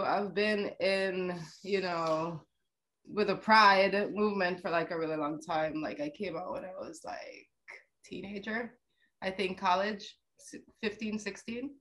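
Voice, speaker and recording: A woman's voice: mid-range voice, unhurried, a bit bright. A woman from Jamaica with a Jamaican accent, a podcast guest speaking casually and hesitantly, with lots of fillers. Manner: laid-back, hesitant, many fillers. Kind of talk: casual interview chat.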